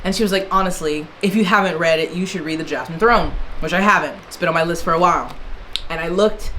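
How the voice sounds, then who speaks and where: A woman's voice: mid-range voice, fairly bright tone, slight background noise, poor audio. A woman chatting casually on camera, rambling with fillers and restarts. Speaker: book vlogger. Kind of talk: casual book haul chat. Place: indoors, in Germany.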